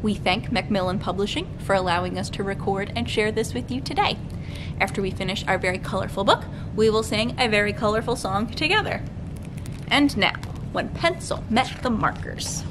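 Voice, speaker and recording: A young woman hosting a storytime, reading aloud to children in an expressive, sing-song way. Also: normal speed. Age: young adult. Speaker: children's librarian, storytime host. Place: library room with bookshelves. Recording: clearly noisy room recording, low rumble.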